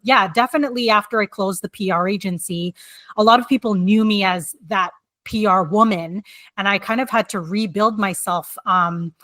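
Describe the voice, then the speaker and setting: A chatty woman answers interview questions, her voice bright and forward. She laughs often and fills pauses with little ums. The sound is dry and close. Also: medium pitch, no echo. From Canada. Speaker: guest, book coach and writer.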